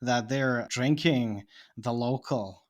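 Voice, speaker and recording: A man's voice: medium pitch, slow pace. A man from Bosnia, a podcast guest, speaking English with a Bosnian Serbian accent. He muses and thinks aloud in casual chat, often laughing.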